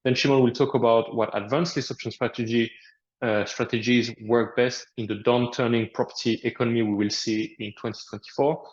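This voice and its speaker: A man with a French accent introduces a guest on a webinar. His voice is of middling pitch, and the sound is echoey and dull, as if recorded in a bare room. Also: fairly even intonation, a little fast. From France. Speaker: host.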